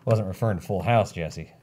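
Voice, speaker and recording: A man's voice: low-pitched, darkish tone, a little hiss or hum. Man building a PC, dry teasing humor. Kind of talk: casual live-stream banter.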